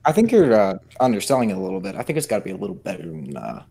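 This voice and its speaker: A man's voice: low-pitched, wildly swooping pitch, racing, gravelly, warm tone, breathy edge. A man in a casual fan chat, talking informally and skeptically. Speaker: voice chat participant.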